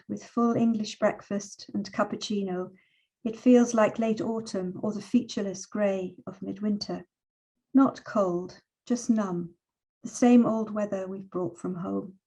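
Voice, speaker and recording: A middle-aged woman with a mellow, unforced voice at an average pitch for a woman. She speaks reflectively and with measured phrasing, as a poet and teacher sharing personal words and verse. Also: poor audio. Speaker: poet and teacher, invited guest. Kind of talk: relaxed personal talk and poetry reading.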